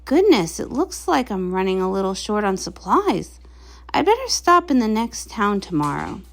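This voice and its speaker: A woman's voice: medium pitch, sing-song, moderate pace, creaky, fairly bright tone, pitched below the performer's own voice. A woman voicing a kindly, practical, plucky travelling healer pony in a comic read-along.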